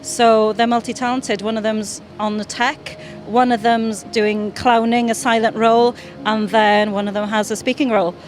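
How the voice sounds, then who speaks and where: A woman's voice: fairly high pitch, flat delivery, moderate pace, few pauses, soft background music. A Welsh woman chatting playfully in an outdoor interview, laughing easily as she talks about her show. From Wales. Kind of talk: casual interview. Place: busy street outdoors.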